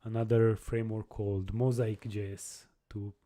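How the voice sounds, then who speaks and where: A young man chatting casually on a live coding stream, halting and restarting his sentences as he answers viewers. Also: fairly low pitch, level tone, mellow. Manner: halting, many restarts and repeated words.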